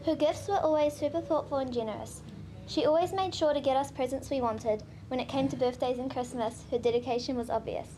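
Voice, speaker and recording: A New Zealand woman reads her grandchild's tribute at a memorial service. Her voice is high and her delivery steady and sincere. A faint hiss sits under the sound. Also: brisk. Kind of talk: reading a prepared tribute.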